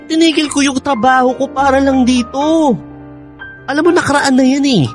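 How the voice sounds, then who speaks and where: A man's voice: very high-pitched, fairly even intonation, moderate pace, soft background music. A man playing an evasive, exasperated young boyfriend, defensive and placating, in a radio drama.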